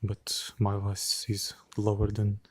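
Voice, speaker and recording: A man in an unedited late-night vlog, speaking quietly and hesitantly with many pauses and fillers, cold and shivering a little into a handheld phone outdoors. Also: lowish voice, completely flat delivery, husky, dry recording. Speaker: vlogger. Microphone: phone held by hand.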